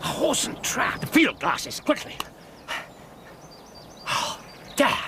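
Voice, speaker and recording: An actor playing a brilliant, impatient Victorian detective in a radio drama, with crisp, clipped and commanding speech. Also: male voice, very high voice, huge pitch swings, slow, background noise, exaggerated voice. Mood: urgent. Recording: radio drama with music underscore.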